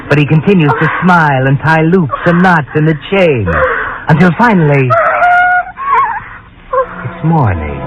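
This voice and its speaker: A man's voice: mid-range voice, natural voice. An actor playing an ominous, insinuating male narrator in a 1940s radio suspense drama, speaking directly to the heroine. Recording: dull 1940s radio recording with music.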